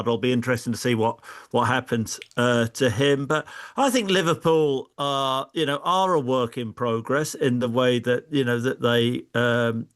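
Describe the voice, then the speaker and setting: A middle-aged man analysing football in a panel chat. His pitch is average for a man. He speaks in a halting, repetitive way as he works towards each opinion. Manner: halting, repeats words and phrases. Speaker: football pundit.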